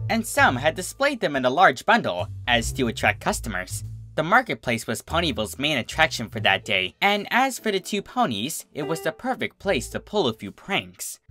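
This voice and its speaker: A narrator reading a story aloud in an animated, expressive way. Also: mid-range voice. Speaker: fan fiction reader.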